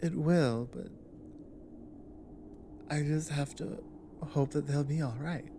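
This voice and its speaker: A woman playing a big, earnest, protective orc warrior in an intimate ASMR audio drama, speaking low in a halting, thoughtful way. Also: mellow, a little hiss or hum. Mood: calm, resolved. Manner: halting, deliberate, earnest delivery with pauses.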